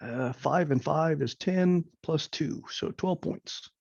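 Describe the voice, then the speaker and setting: A man with a gravelly, husky voice playing a tabletop game, talking casually on a dull recording. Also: on the high side, takes it slowly, a little mellow, dry recording.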